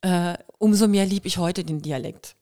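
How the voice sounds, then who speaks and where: Lively storytelling German actress. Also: female voice, fairly low pitch, moderate pace. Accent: light Kurpfalz dialect touches. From Germany, Kirrlach near Waghäusel. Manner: lively storyteller, imitates dialect sounds. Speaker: guest, actress and singer. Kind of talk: casual podcast interview.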